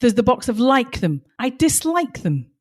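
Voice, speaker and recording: A woman's voice: fairly high pitch, very animated, moderate pace, husky. A woman co-hosting a podcast, telling stories in a passionate, emphatic way, her voice slightly strained as if she is losing it. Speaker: podcast co-host. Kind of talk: casual podcast chat with stories.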